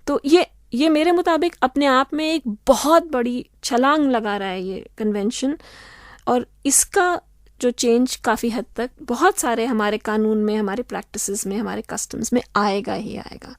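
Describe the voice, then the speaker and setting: A woman speaking as an expert guest on a radio interview, calm and methodical as she lays out her points. Her voice sits a little high, and the studio sound is dry and close. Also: dry recording. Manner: measured, explanatory, steady.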